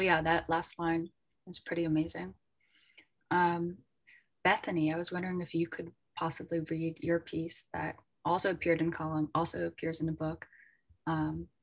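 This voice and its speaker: A woman's voice: lowish voice, flat delivery, normal speed, fairly bright tone. A woman moderating an online panel as an editor, casual, tentative and appreciative, heard over a video call.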